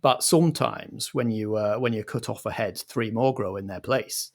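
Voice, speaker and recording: A man playing a pompous, earnest auteur director, husky and halting, in a mock documentary interview. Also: lowish voice. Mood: portentous. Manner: halting, portentous, repeats words.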